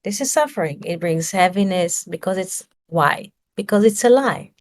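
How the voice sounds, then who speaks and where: A woman's voice: fairly low pitch, animated, normal speed. Woman giving a searching spiritual talk. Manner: searching for words, rhetorical questions. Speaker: spiritual teacher.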